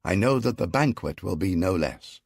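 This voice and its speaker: A man voicing an ancient Egyptian pharaoh who makes grand, ceremonious proclamations in a strong, clear, carrying voice. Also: sits low, animated, moderate pace, no echo, studio quality, exaggerated voice. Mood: regal. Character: commanding male Egyptian pharaoh, regal ruler.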